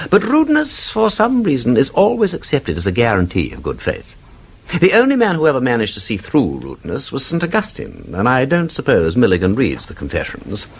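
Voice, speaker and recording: Man playing aristocratic sleuth, very animated mid-range voice, urbane, wry, erudite, period radio drama. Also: medium pitch, gravelly, breathy edge, full character voice. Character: witty, erudite male aristocratic amateur sleuth, self-assured.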